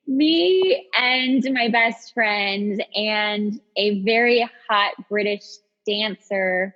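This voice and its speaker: A woman in her thirties with a husky voice, chatting casually and telling stories in an animated, self-deprecating way as a podcast host. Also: fairly high pitch. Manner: animated, self-deprecating storyteller who acts out quotes. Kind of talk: casual chat and storytelling. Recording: dull audio with long reverb.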